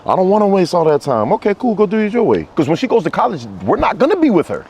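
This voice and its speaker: A man's voice: high voice, huge pitch swings, fast, darkish tone, slightly rough. A man, a parent and guest, talking casually and easygoingly with many affirming fillers. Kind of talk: casual conversation.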